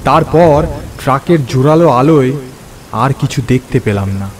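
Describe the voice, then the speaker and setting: A man acts out a weary, troubled ghost of a friend in a horror cartoon. He talks haltingly and ominously at a middling male pitch, with faint rain and thunder behind him. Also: expressive, noisy background, lightly acted. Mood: grave, haunted. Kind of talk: cartoon horror story acting.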